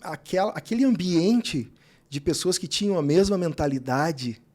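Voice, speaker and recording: A man telling stories in a casual podcast chat, animated and jumping high on stressed words. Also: moderate pace.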